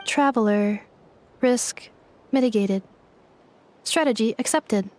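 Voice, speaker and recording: A woman voicing a polite, literal-minded female robot in a video game, with clipped, formal, deadpan phrasing. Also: a little high, slow. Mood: analytical.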